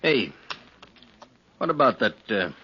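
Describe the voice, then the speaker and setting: An actor playing a folksy, plain-spoken drifter in a 1950s radio western, talking in a colloquial way. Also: male voice, mid-range voice, sing-song, slow pace, sharp tone, full character voice. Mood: wistful. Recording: muffled, faintly noisy 1950s radio recording.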